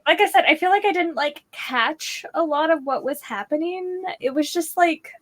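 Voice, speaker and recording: A woman in a casual book discussion, giving her opinions as a panelist in a halting, informal way, thinking aloud. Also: sits high, fairly bright tone.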